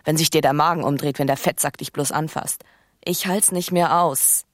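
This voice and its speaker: An actress playing a bitter, passionate, scheming young wife in a naturalistic radio drama, speaking with urgent intimacy and slipping into whispers. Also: female voice, deep voice, steady tone, moderate pace, dry recording, studio quality, natural voice. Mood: bitter, weary.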